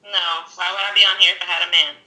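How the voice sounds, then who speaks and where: Stranger on a video call, blunt and teasing, bright voice. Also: female voice, on the low side, flat delivery, quick pace, poor audio. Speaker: stranger on a video chat app. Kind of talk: casual chat on a video call. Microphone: phone on a video chat app.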